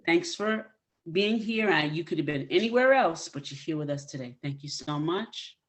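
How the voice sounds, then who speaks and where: A woman's voice: deep voice, lively intonation, moderate pace. A woman hosting a podcast interview, upbeat and encouraging, turning playful when she urges listeners toward her guest. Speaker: podcast host. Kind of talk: casual interview chat.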